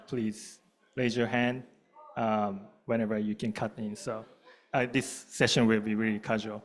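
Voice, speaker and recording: A man with a Japanese accent casually moderating a panel in a halting, hesitant way. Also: fairly low pitch. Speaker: panel moderator, event co-lead. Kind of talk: casual panel hosting before an audience.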